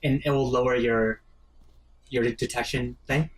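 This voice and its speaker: A man's voice: medium pitch, steady tone, measured pace. A man giving casual, explanatory commentary while a video game is played. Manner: explanatory, conversational. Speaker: gaming commentator. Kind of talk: casual let's play chat. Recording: distant mic, game music behind.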